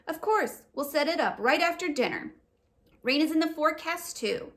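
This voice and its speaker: A woman's voice: high voice, lively intonation, moderate pace, a bit bright, pitched above the performer's own voice. A woman voicing a helpful, upbeat father in a children's book read-aloud, reassuring and agreeable.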